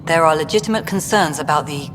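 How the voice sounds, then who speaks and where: An actress playing a stern, pragmatic colonial director in a video game, speaking in a clipped, dry, businesslike way. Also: female voice, low-pitched, fast talker, music in the background, natural voice. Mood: dry, guarded. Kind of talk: video game dialogue acting. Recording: hall reverb with clear background noise.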